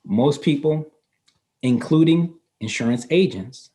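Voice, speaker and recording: A man in his fifties, a guest in a casual interview, explaining finance in a relaxed way and laughing easily. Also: on the high side, slow. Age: 50s. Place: North Carolina.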